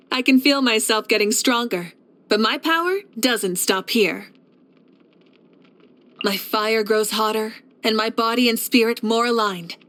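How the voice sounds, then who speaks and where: A woman playing a confident, warm young warrior leader in a video game, speaking in a poised, measured, earnest way. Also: fairly high pitch. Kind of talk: scripted video game character voice lines.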